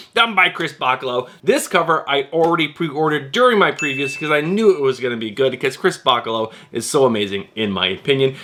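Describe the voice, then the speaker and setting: A man hosting a comic book vlog, talking in an energetic, rambling way to hype up his viewers, his voice a little stuffy from a cold. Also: on the high side. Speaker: comic book channel host.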